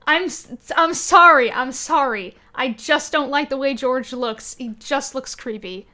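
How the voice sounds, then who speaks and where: A woman with a somewhat high voice in a relaxed podcast discussion. She gives her opinions bluntly, in everyday conversational speech. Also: measured pace. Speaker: podcast co-host. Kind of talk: casual discussion podcast chat.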